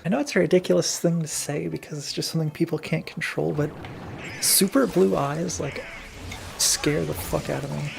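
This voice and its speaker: A man with a somewhat high voice and heavy vocal fry talks through a game. His commentary is casual, sarcastic and jokey, with game music underneath. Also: fairly even intonation, normal speed. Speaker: player and co-commentator on a gameplay video. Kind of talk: casual gaming commentary chat. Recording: video game music behind.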